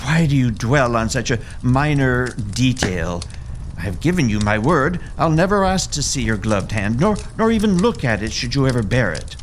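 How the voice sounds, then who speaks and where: An actor as an ardent Victorian suitor in a period radio play. His mid-pitched voice swings widely with earnest, romantic emphasis, over faint ambience. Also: big pitch swings, moderate pace, background noise, natural voice. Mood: pleading devotion. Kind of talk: period audio drama acting.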